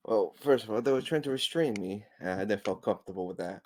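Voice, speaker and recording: A man's voice: on the low side, fast, a little mellow, a little hiss or hum. A man playing a tabletop roleplaying game, improvising in character with stumbling, apologetic phrasing.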